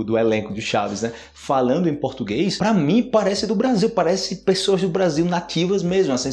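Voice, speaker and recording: A man in his thirties teaching Portuguese to camera in a small room, explaining words casually, checking in often with "né?" and "ok?". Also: mid-range voice. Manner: lively, gestures a lot, frequent "né?" and "ok?" check-ins. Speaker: Portuguese teacher, channel host. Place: small indoor room, plain wall. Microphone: close camera framing, upper body.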